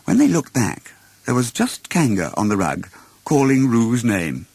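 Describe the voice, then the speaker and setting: Expressive male storyteller with swooping intonation. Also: mid-range voice, unhurried, creaky, slightly rough, no echo. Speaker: storyteller, audiobook reader. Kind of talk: expressive children's storybook narration.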